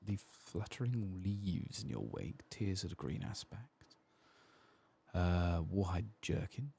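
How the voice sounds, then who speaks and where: Soft-spoken gravelly man, ASMR gaming. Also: low-pitched, slow pace. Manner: quiet, relaxed, murmuring to himself. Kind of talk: soft-spoken ASMR game playthrough commentary.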